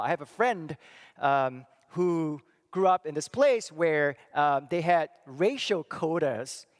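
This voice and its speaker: A middle-aged man from Singapore giving a church talk, thoughtful and halting, with many fillers and restarts. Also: on the high side. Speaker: church speaker and psychology professor. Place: church hall. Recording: long reverb of a hall.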